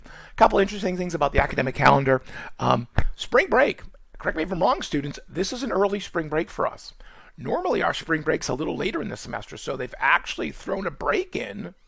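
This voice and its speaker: A man from Boston with a Boston accent, giving a college course orientation in quick, rambling speech full of fillers and restarts. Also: on the high side, creaky, a bit bright, breathy edge, dry recording. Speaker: college nutrition instructor. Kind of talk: screen-recorded course orientation.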